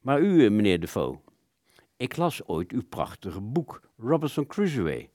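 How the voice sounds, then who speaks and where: A wordy, wronged ghost of an old Hollywood director, played by a man in a radio play. The voice is low and swings expressively as he explains his grievance theatrically, recorded dry and close. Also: big pitch swings, unhurried, a little mellow, dry recording. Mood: indignant.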